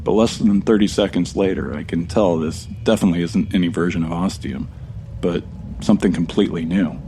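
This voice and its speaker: An actor playing a wry, bewildered American traveler in an audio drama, with a casual, deadpan delivery. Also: male voice, sits low, fairly quick, a little hiss or hum. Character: wry, bewildered American male traveler stranded among ghosts. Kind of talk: audio drama acting with first-person narration.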